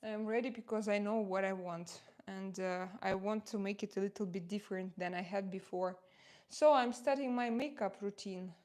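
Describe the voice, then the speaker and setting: A woman in her forties with a Russian accent, chatting casually to her livestream viewers while doing her makeup, halting often with fillers. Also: mid-range voice, fairly even intonation, darkish tone. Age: 40s. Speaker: live streamer.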